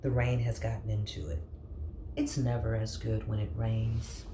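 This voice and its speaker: A storybook reader voicing a fussy male sloth who speaks slowly and mournfully. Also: medium pitch, little pitch movement, echoey room, noisy background, natural voice. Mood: grumbling. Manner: slow and mournful.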